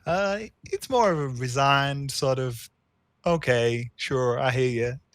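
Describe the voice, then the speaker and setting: A man's voice: mid-range voice, slow pace, dry recording. A man running a social deduction game as storyteller, putting on an American Southern accent with a playful, drawling manner. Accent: put-on American Southern accent. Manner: playful, drawling, trails off with fillers. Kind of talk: live-streamed game narration and banter.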